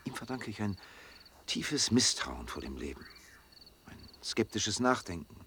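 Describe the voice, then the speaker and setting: An actor playing an aloof, sceptical loner in a radio drama, earnest and gentle, speaking in a measured, reflective, halting way. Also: male voice, on the low side, big pitch swings, a little hiss or hum, full character voice. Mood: bitter, reflective. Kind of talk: restrained German radio drama acting.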